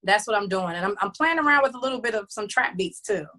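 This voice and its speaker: A woman recording artist talks through her plans in a loose, chatty interview style, stopping and restarting her sentences with plenty of fillers. Her voice sits in an ordinary middle range for a woman, with no marked highs or lows. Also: lively intonation, fast, sharp tone. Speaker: recording artist and label head. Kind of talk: casual interview chat.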